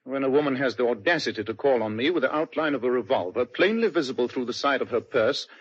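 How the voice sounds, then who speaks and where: An actor playing a dry, precise detective with a cool manner, in an old radio drama. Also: male voice, mid-range voice, lively intonation, fast talker. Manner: dry, crisp and deductive. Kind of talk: 1940s radio acting. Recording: dull lo-fi old radio recording.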